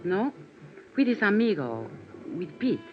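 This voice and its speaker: Lilting, coy Latin bar hostess. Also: female voice, mid-range voice, a little slow. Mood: playful. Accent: Latin American Spanish. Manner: broken English, lilting and expressive. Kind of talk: 1950s radio drama acting. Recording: dull vintage radio recording with music.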